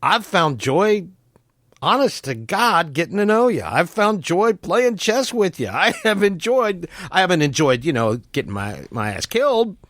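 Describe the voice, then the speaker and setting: A man's voice: sits high, very animated, a bit bright, dry recording, clean studio sound, full character voice. A man playing an optimistic, folksy cleric in a tabletop game, speaking earnestly and plainly. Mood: earnest, warm. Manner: earnest, plainspoken, slow deliberate phrasing.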